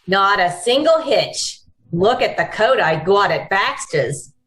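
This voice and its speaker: A woman's voice: mid-range voice, big pitch swings, slow, a bit bright. A woman playing a cool, self-satisfied accomplice in an old-time radio drama. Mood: pleased.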